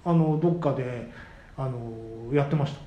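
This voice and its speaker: A man co-hosting a casual podcast chat, bantering and quick to retort with questions. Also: mid-range voice, dull audio. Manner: animated, quick to retort and question his partner. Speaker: podcast co-host, the senior of the duo. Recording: roomy echo, faint background noise.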